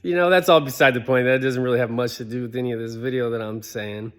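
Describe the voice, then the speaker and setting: A man's voice: mid-range voice, fairly even intonation, fast. A man in his thirties giving a casual motivational talk, conversational, with many rhetorical questions and fillers. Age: 30s. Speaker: motivational speaker.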